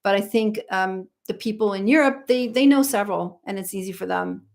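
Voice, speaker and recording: A woman's voice: mid-range voice, fairly quick. A middle-aged American woman, a vocal coach, chatting casually on a livestream and reading out viewer comments, rambling with lots of ums and restarts. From United States.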